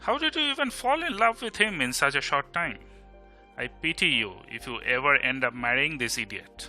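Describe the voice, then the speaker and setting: Male actor as young grumbler, Bengali Indian accent, very bright forceful voice, sarcastic teasing, audio drama, music underneath. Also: fairly high pitch, wildly swooping pitch, fast, full character voice. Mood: scornful, teasing. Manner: sarcastic, exasperated. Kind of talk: amateur audio drama acting. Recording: music behind the voice.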